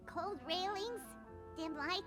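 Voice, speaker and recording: A woman's voice: very high voice, slow pace, over music. A woman voicing a small, chatty, nervous childlike floating companion in a video game, with emphatic phrasing. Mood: wary.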